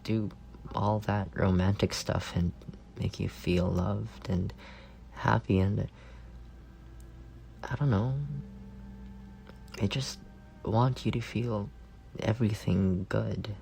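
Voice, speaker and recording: A young man playing a shy, lovestruck roommate in an intimate ASMR roleplay, speaking tenderly and haltingly, often trailing into breathy murmurs. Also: on the low side, steady tone, darkish tone, slight background noise. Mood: tender, shy longing. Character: shy, lovestruck young roommate confessing softly to a sleeping friend.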